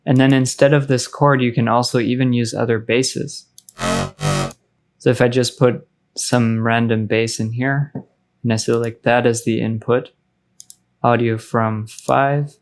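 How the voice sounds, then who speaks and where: A man narrating a casual software tutorial as a music production tutor, informal and explanatory in manner. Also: mid-range voice, level tone, measured pace.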